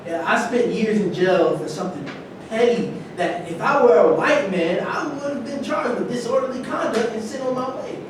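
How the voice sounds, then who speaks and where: A man acting an insistent, high-voiced embittered ex-prisoner. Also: animated, normal speed. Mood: indignant. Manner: argumentative, insistent. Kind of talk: staged play reading. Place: large indoor room at a live staged reading, distant mic. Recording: very echoey, noisy room from far off.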